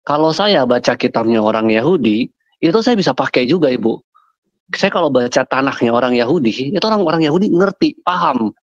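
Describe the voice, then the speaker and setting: Brief: male debater on a lo-fi video call, dry sound, mid pitch, patient questioning tone. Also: animated, brisk, dry recording. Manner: patient, probing, addresses her as "Bu" often. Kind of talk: casual online debate. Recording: dull lo-fi call audio.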